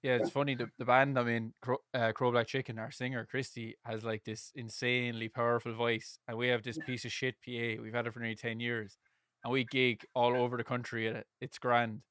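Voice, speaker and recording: A man hosting a podcast over a video call, talking loudly and casually, swearing now and then. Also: mid-range voice, flat delivery, fairly quick, dry recording, clean studio sound. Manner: loud, self-deprecating, swears casually. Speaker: podcast host.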